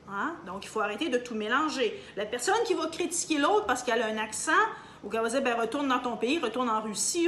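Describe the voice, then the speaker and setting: A woman's voice: on the high side, a bit bright. A woman with a Canadian French accent giving an emphatic opinion monologue straight to her viewers, hesitating often with fillers. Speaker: video commentator. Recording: echoey room, faint background hum.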